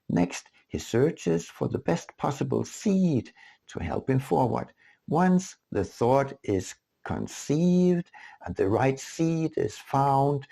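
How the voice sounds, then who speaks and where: A man narrates his own written work at an unhurried pace. His delivery is deliberate and expressive, with widely moving intonation. The voice carries a creaky, gravelly grain throughout. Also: mid-range voice. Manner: deliberate, rhetorical questioning. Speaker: author reading own book. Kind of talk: scripted audiobook narration.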